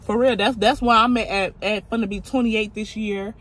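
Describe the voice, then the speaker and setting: A woman's voice: medium pitch, fairly even intonation, moderate pace, a bit bright. A woman, talking casually to camera from a parked car, blunt and outspoken. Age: 20s. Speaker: vlogger. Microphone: phone camera on dashboard.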